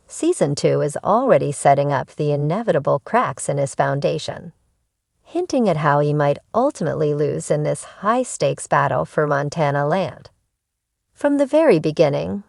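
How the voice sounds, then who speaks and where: A woman's voice: low voice, gravelly, a little mellow, dry recording, studio quality. A woman narrating a scripted entertainment news video.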